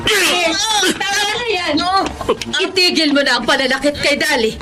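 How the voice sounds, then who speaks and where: Very high, accusing Filipina matriarch. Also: female voice, animated, normal speed. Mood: indignant. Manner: stern, accusing. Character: betrayed adoptive mother, indignant, commanding. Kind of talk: melodramatic Filipino radio drama acting.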